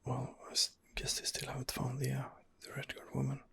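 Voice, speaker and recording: A man doing hesitant ASMR roleplay commentary over a game, rambling and thinking aloud in a low-level close recording. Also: completely flat delivery, a little fast, creaky, a bit bright. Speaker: gaming ASMR host playing in character.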